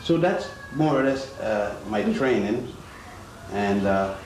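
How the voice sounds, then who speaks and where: Older male lecturer, slow and halting, recorded far off in an echoey, noisy hall. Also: fairly low pitch, animated, a little mellow. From Ethiopia. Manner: slow, halting, with many pauses and fillers. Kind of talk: public lecture.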